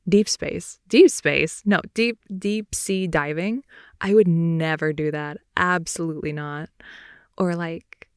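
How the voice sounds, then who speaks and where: A young woman improvising an intimate ASMR girlfriend roleplay, rambling in a playful, slangy way and slipping between soft whispers and more animated talk, close to the mic. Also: fairly low pitch, slow, smooth, no echo. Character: wide-awake chatty girlfriend, silly and playful. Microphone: close microphone, dry sound.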